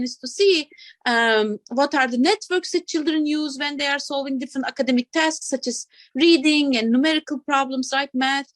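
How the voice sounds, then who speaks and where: Chatty woman from Turkey, high voice. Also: dry recording. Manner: chatty, adds "right?" and soft laughs. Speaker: assistant professor, guest. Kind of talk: casual interview talk.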